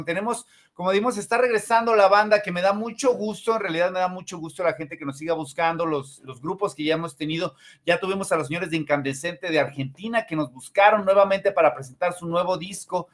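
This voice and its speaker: A man's voice: sits high, a bit bright. A Mexican man in his forties chatting casually as a music show host, rambling and repeating his phrases. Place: indoor room, on a video call. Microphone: video call, wearing headphones.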